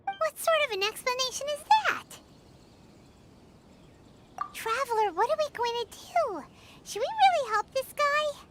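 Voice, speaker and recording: A woman's voice: lively intonation, a little slow, pauses often, very noisy. A woman voicing a tiny, cheeky, childlike fairy sidekick in a video game, chatty and informal. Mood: indignant. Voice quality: squeaky, childlike. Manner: talks of self in third person, cheeky, informal. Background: music.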